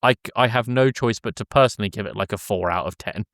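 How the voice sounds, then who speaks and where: A man with a fairly low voice talks casually as a podcast co-host. He tells things in a jokey, loose storytelling manner. The recording is dry and close. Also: fast, a bit bright, no room sound. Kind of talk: casual film recap chat.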